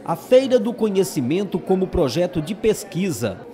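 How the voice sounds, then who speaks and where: High, lively male news voice-over. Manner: formal, measured voice-over. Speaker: news reporter. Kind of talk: scripted news narration.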